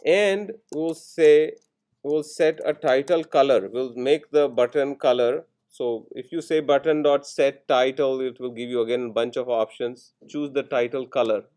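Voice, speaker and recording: A man lecturing in programming, explaining each step in short instructional phrases with pauses between them. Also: mid-range voice, darkish tone. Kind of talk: step-by-step classroom coding tutorial.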